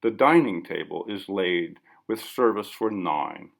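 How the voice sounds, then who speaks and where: Slow, gravelly male audiobook narrator. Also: low-pitched, expressive. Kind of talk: scripted literary narration.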